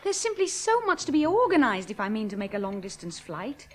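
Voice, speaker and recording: A determined young woman aviator in an old radio drama. Her voice is high and animated, earnest and plain-spoken. She grows impatient with silly questions. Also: brisk, slight background noise. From Hull, England.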